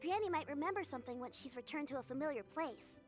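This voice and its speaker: A shy, high-voiced young girl. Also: animated, fast, faint music behind. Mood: earnest. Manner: hesitant, earnest, a little shy.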